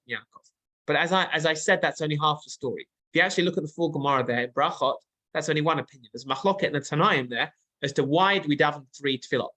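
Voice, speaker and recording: A man gives a Torah class in a bright, fairly high voice. He reads out Hebrew lines, translates them, and explains them in a loose, thinking-aloud way. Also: poor audio. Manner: thinking aloud, with frequent fillers and restarts. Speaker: Torah teacher. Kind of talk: text-based Torah class.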